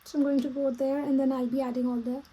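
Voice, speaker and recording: High-pitched Pakistani woman thinking aloud. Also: level tone, mellow, slightly rough, rough recording. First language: Urdu. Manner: thinking aloud, halting while typing. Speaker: vlogger and small business owner. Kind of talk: casual plan-with-me vlog talk.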